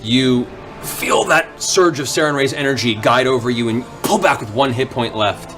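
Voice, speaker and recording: A man's voice: mid-range voice, moderate pace, a bit bright. A man narrating a tabletop fantasy game as game master, in a dramatic, descriptive way. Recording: music bed under the voice. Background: background music.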